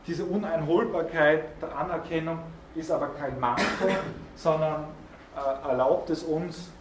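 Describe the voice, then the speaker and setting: A man's voice: on the high side, fairly even intonation, unhurried. A man giving a university philosophy lecture, thinking aloud with frequent hesitations and repeated words, recorded by a student in a lecture hall. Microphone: student's recorder in the room. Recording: echoey lecture hall recording with faint noise.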